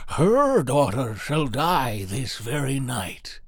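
A man's voice: medium pitch, big pitch swings, takes it slowly, slightly rough, no room sound, clean studio sound, exaggerated voice. A man voicing a bold little talking dog in a fairy tale, proclaiming as if barking. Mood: defiant, proclaiming. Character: little household dog making bold prophecies.

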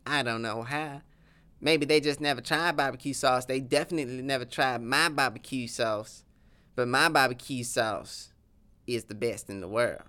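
A man's voice: medium pitch, moderate pace, sharp tone, clear, resonant tone, lightly acted. A man voicing a boastful folksy Texan cowboy with a Southern accent, talking in a drawling, ungrammatical, blustering way. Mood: indignant. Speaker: interview guest.

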